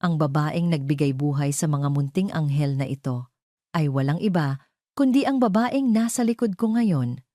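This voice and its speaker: A woman's voice: sits low, animated, moderate pace, dark tone, no echo, clean studio sound. A woman voicing a smug, venomous female socialite villain in an audio drama, theatrical and falsely sweet as she addresses guests. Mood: gloating.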